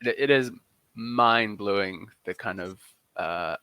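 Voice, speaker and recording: A man from Kenya with a fairly low but bright, forward voice, recorded dry and close. He talks as an interview guest in a chatty, informal way, with some self-deprecation. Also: slow pace, clean tone, no room sound. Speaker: podcast guest, football journalist. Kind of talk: casual, conversational interview answers.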